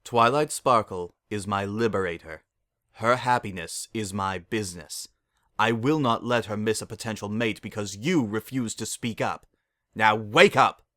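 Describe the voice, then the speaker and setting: A man's voice: fairly low pitch, unhurried, a bit bright, dry recording, studio quality. A man voicing a stern, imperious female pony princess of the night with formal, archaic, commanding speech. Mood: stern, insistent.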